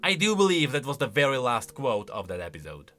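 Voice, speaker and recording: A man's voice: medium pitch, wildly swooping pitch, a little fast, pushed and bright, soft background music. A man giving chatty, informal, opinionated reaction commentary on video game lore.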